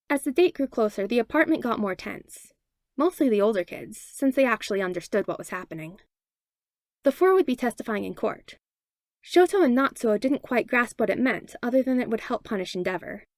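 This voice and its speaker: A woman reading fan fiction aloud as a podfic narrator, with expressive, animated storytelling. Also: fairly high pitch, gravelly, no room sound, clean studio sound. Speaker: podfic reader.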